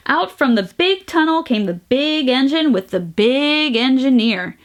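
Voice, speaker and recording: High, very lively woman reading to children. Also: unhurried. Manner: sing-song, exaggerated emphasis, playful noises. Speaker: storytime host reading a picture book aloud. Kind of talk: animated children's read-aloud with sound effects.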